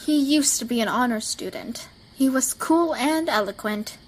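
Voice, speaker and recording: A woman playing a reserved, brooding teenage girl in an amateur audio drama, measured and guarded in manner. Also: high voice, lively intonation, low-quality recording. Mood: evasive.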